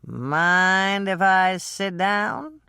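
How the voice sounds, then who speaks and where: A woman reading an audiobook and voicing a drunk, brooding man who slurs his words slowly. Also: on the low side, big pitch swings, fairly bright tone, no room sound, studio quality, exaggerated voice. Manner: slow, slurred drunken drawl. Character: drunk, brooding adult man, an actor in a scene.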